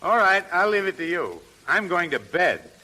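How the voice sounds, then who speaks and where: An actor playing a polite, genteel householder in a 1930s radio drama. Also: male voice, sits high, big pitch swings, normal speed, bright, forceful tone, heavily acted, rough recording. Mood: genial, courteous. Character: courteous male householder named Clint. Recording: vintage 1930s radio recording.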